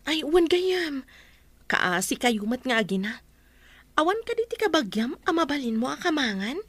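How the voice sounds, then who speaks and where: An actress playing a sympathetic, helpful neighbour in a radio drama, with an Ilocano Filipino accent. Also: female voice, high voice, big pitch swings, moderate pace, no echo.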